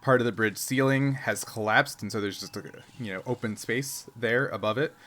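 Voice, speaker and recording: A man's voice: medium pitch, bright, forceful tone. A man working as game master, narrating a tabletop adventure in a casual, improvised, conversational way. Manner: conversational, quick to laugh. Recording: noisy room recording with background ambience.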